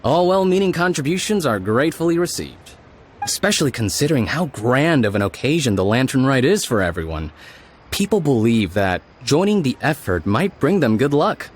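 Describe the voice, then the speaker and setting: Patient game craftsman, gentle explainer, thoughtful, with lively intonation. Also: medium pitch, moderate pace, breathy edge. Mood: patient explaining. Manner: musing, hesitant hums, explanatory. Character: kindly, patient male master lantern craftsman. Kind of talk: video game character acting.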